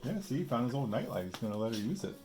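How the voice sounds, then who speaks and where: A man's voice: mid-range voice, big pitch swings, rapid-fire, darkish tone, faint music behind. A man reading a picture book aloud with casual asides, explaining simply as if to a young child. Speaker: storybook reader.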